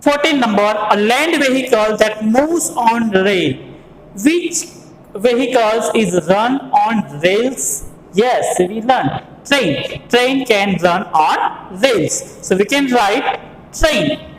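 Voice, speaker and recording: A woman teacher talks through a quiz-style review. Her voice sits a little high. She speaks slowly, and a long, echoey reverb hangs on every word. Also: lively intonation, slight background noise. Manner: slow, repetitive, quiz-style questions and answers. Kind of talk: classroom revision lesson. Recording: roomy, echoey hall sound.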